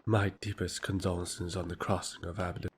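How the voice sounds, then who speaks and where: A man's voice: low-pitched, little pitch movement, fairly quick, slightly rough. A man playing a smug, scheming aristocrat in a fantasy audio drama, pompous and mockingly courteous. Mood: smug, silky. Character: smug, scheming aristocratic male rival lord, honey-tongued.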